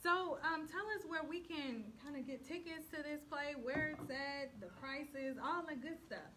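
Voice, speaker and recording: A woman's voice: high-pitched, unhurried. A woman hosting a casual interview chat, effusive and informal. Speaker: talk show host. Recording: loud background noise, clicks.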